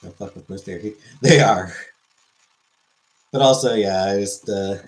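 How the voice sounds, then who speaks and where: A man's voice: fairly low pitch, a little slow, low-quality recording. A man hosting a livestream, rambling and joking as he reads chat messages aloud. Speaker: livestream host. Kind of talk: casual chat.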